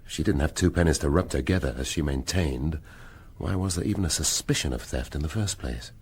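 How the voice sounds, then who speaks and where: An actor playing a gruff, inquisitive middle-aged police detective in a radio drama, with a brusque, questioning manner. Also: male voice, extremely low pitch, brisk, darkish tone. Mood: musing, probing.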